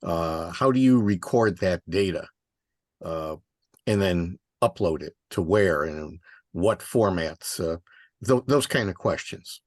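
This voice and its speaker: Low-voiced man, halting, very dry sound. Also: lively intonation, no echo. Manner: halting, tentative. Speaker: meeting participant. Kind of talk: casual conference call chat.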